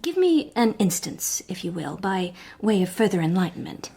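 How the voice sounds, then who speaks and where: A young, sharp-witted lady detective of high society in a period radio drama. Her voice sits at a medium pitch. She is composed and formal, with a probing, self-assured manner. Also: very animated, normal speed, creaky, husky, heavily acted. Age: young adult.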